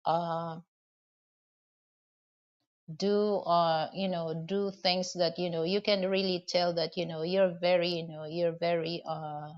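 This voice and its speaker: A woman's voice: fairly low pitch, steady tone, takes it slowly, a little mellow, dull audio. A woman from the Philippines with a Filipino accent, chatting casually to her viewers and rambling with many "you know" and "okay?" fillers. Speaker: vlogger. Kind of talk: casual advice and storytelling to camera.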